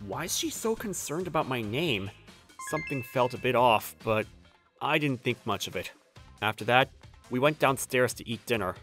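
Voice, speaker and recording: A man's voice: mid-range voice, big pitch swings, normal speed, a bit bright, soft background music. A young man playing a mild, sensible but easily spooked college student in a manga dub. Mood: calm narrating. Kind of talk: manga dub narration and dialogue.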